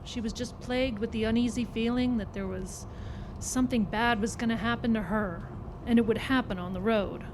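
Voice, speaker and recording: A woman's voice: fairly high pitch, steady tone, moderate pace. A woman reading a scripted story aloud as dramatic narration at a live performance. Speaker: story reader. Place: performance club before live audience. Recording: noisy live club recording.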